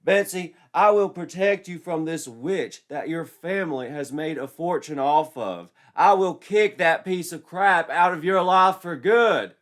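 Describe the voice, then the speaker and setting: A man's voice: fairly high pitch, measured pace, hardly any pauses, a bit bright, voice pushed up. A man voicing a brash young male wrestler suitor who talks in bombastic promo style. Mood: bombastic bravado.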